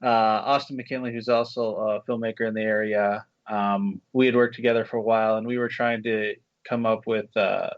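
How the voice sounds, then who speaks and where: A man being interviewed as a guest screenwriter and director, answering in a relaxed way with frequent ums and restarts. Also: lowish voice, moderate pace, hardly any pauses, no room sound, muffled recording. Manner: relaxed, many fillers and restarts.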